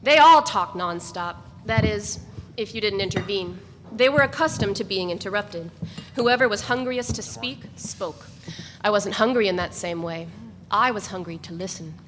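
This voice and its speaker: A woman reading first-person literary narration aloud in a measured, even way. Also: medium pitch. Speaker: reader. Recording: echoey room with faint noise.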